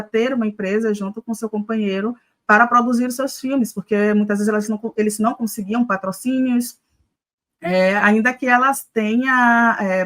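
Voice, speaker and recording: A woman from Brazil hosts a live news commentary stream. She talks conversationally, pausing on fillers as she thinks aloud. Her pitch sits in an ordinary middle range. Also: fairly bright tone. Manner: conversational, many filler hesitations. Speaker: news show host.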